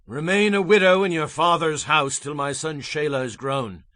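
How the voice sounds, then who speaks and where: A man's voice: a little high, normal speed, pushed and bright, no room sound. A man playing a stern, emotional patriarch in a dramatic audio Bible production.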